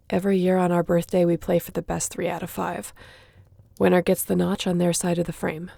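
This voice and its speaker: A woman's voice: fairly low pitch, creaky, dark tone, no room sound. A woman playing a sassy, competitive young shifter in an audio roleplay, talking in a casual, teasing way. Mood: playful. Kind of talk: audio roleplay acting, addressing the listener.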